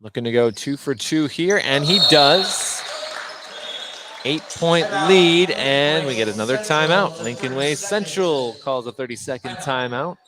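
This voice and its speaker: A man's voice: mid-range voice, lively intonation, a little slow, crowd noise. An American man doing live radio play-by-play of a basketball game, describing the action in clipped running phrases. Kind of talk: live radio sports commentary. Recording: noisy gym ambience, bouncing basketballs. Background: busy gymnasium with basketball bounces.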